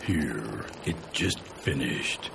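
An actor playing a gruff, kindly, folksy man in a science fiction audio drama, with a gravelly, reassuring delivery. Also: extremely low pitch, animated, extremely slow, very noisy, heavily acted. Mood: gentle, reassuring. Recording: music under the voice.

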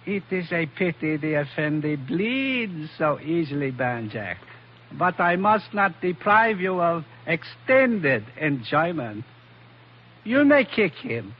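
An actor playing a menacing foreign henchman with formal, deliberate politeness in a 1940s radio adventure. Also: on the high side, very animated. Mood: cold, cruel. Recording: dull, noisy old radio recording.

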